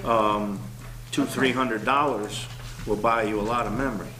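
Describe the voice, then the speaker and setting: A man's voice: lowish voice, fairly even intonation, normal speed. A man at a town meeting giving a practical explanation of security equipment. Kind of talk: meeting discussion. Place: town hall meeting room. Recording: echoey room with people around.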